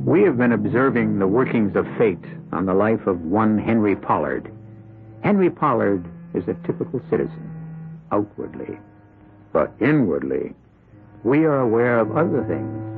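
An actor as an ominous, wry storyteller hosting a 1970s radio mystery, deliberate and theatrical with dramatic pauses. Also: male voice, lowish voice, creaky. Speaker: host and narrator. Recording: muffled 1970s radio broadcast, music behind.

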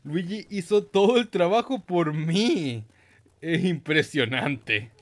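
A man's voice: fairly high pitch, expressive, slow pace. A man giving casual, slangy, mock-boastful gaming commentary with some swearing. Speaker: gameplay host.

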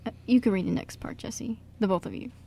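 A woman's voice: mid-range voice, fast talker, gravelly, dark tone, breathy edge. A woman playing a genial male stage director in an amateur radio drama, jaunty and mock-formal. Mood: encouraging.

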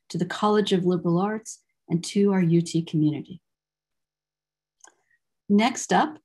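An older woman with a somewhat husky voice gives a formal ceremonial address from a prepared text. Her pitch is middling for a woman and her phrasing is measured and deliberate. She speaks indoors into a computer microphone on a video call. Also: warm tone. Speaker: university program director presiding over a ceremony.

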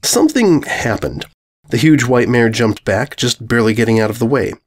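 A man's voice: medium pitch, expressive, moderate pace. A man reading a comic story as a scripted narration. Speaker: fan fiction narrator.

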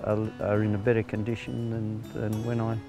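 A man in his sixties with an Australian accent, a farm manager talking plainly outdoors, with many pauses and fillers. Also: on the low side, monotone, over music. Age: 60s. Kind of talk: on-location interview. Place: outdoors on a rural farm paddock.

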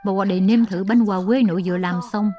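A woman voicing a kindly, doting elderly grandmother in a dubbed cartoon, with a gentle, homely manner. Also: medium pitch, steady tone, fast talker, lo-fi sound, soft background music, natural voice. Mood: fond.

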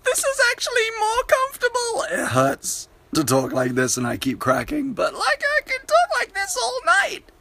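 A woman putting on a squeaky, cracking, very high voice that keeps breaking into song. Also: background noise. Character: squeaky high-pitched adult with a strained voice.

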